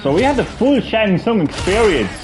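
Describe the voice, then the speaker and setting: A man's voice: high voice, sing-song, moderate pace, music in the background. A man, chatting casually while he plays a fighting game, rambling with lots of fillers and restarts. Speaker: gaming streamer. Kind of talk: casual gameplay commentary.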